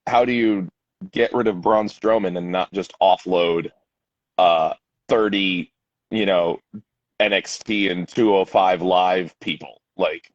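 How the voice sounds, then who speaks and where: A man's voice: sits low, takes it slowly, no echo, highs cut off. A man co-hosting a wrestling podcast in casual chat, agreeable and rambling, with frequent yeahs. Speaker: podcast co-host.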